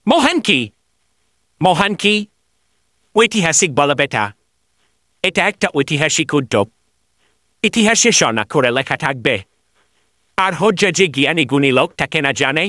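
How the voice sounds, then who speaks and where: A man voicing an excitable, flattering village man in a cartoon. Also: fairly high pitch, normal speed, pauses often, no room sound, studio quality. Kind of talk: cartoon voice acting.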